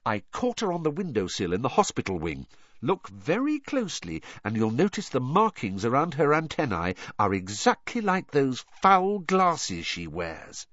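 Storybook schoolgirl played by a man: pitched up, lively intonation, precise, brisk, smugly know-it-all. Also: no echo, highs cut off. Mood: gleeful. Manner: precise, emphatic, explanatory. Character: clever teenage schoolgirl, earnest, brisk and smugly triumphant.

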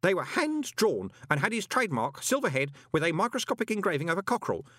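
A man's voice: high voice, sing-song, fast talker, a bit bright, no echo, studio quality, pitched above the performer's own voice, heavily acted. A man voicing an earnest, obsessive teenage boy who speaks in a precise, scholarly way.

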